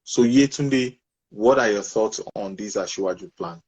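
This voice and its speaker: A man's voice: on the low side, moderate pace, darkish tone, slightly rough. A man from Nigeria hosting and moderating an online discussion, firm about keeping time. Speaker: host. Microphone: online call.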